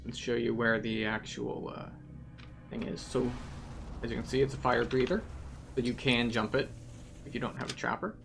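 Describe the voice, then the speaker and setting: A game tour guide giving casual walkthrough commentary, explaining things in a matter-of-fact, unhurried way. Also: medium pitch, gravelly, soft background music.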